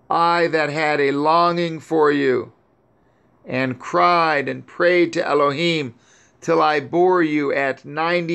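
A man's voice: a little high, a bit bright, clear, resonant tone, voice pushed up. A man reading the part of a grieving elderly mother, lamenting and pleading over her son.

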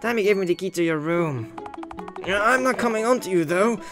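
A woman's voice: medium pitch, expressive, a little fast, lightly acted. A woman voicing a nervous, awkward young man who jokes hesitantly in a visual novel. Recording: loud music behind the voice.

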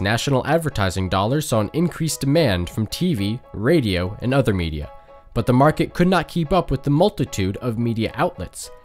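A man narrates a documentary from a script, his medium-pitched voice moving with lively intonation. Faint music plays underneath.

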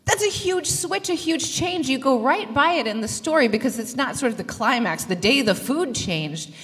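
A woman in her thirties preaching a sermon into a handheld mic, lively and joking, gesturing as she talks. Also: high voice, moderate pace. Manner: lively, gestures broadly, jokes and laughs with the congregation. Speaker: pastor. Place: church sanctuary. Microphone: handheld microphone. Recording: long reverb of a church hall.

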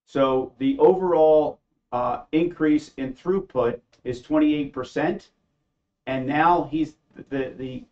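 Guy talking through slides, plain and halting, a bit muffled. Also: mid-range voice, darkish tone. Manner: plain, halting, with fillers and restarts. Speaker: company presenter. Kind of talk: explaining a slide presentation.

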